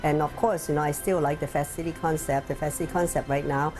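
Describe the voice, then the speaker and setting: A woman restaurateur with a Hong Kong Chinese accent, speaking in a documentary interview and leaning on lots of fillers as she pauses and restarts. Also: low voice, fairly even intonation, over music. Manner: halting, many fillers.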